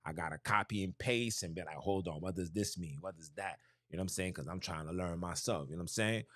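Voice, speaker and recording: A low-voiced man talks in a loose, rambling podcast style, full of slang and "you feel me" asides. He restarts his sentences and circles back to his points. His voice is recorded dry and close, with almost no room sound. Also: fast, dry recording. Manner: loose, street-slang talk with frequent "you know what I'm saying" and restarts. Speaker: podcast host. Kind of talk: casual religious talk.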